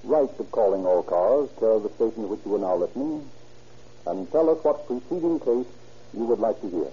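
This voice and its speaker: A man with an American accent delivering formal, emphatic scripted announcements and sponsor pitches as a 1930s radio host. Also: lively intonation, a little fast, dark tone, slightly rough. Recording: muffled old 1930s radio broadcast.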